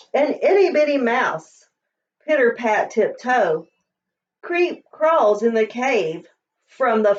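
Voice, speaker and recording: A woman reading a children's picture book aloud in an expressive, sing-song storytelling manner. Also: fairly high pitch. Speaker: storybook reader. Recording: slightly dull, distant microphone.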